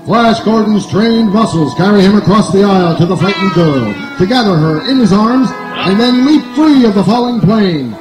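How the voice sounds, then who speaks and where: A man plays a dramatic narrator from a 1930s adventure serial. The voice is high and projected, echoing on a live stage over very loud music. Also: lively intonation, moderate pace, few pauses.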